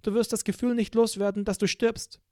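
A man's voice: high voice, little pitch movement, a little fast, a little mellow, no room sound, studio quality, voice pushed up, close to an everyday voice. A man reading an audiobook, voicing a cold, stern female interrogator in a clipped, coolly polite way. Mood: icy. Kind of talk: audiobook character voice.

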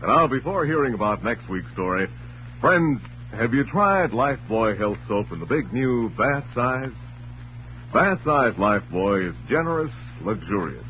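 A man's voice: mid-range voice, very animated, unhurried, a little hiss or hum. A man announcing in a polished, emphatic 1940s radio style, reading scripted narration and advertising. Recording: muffled old radio recording, music behind.

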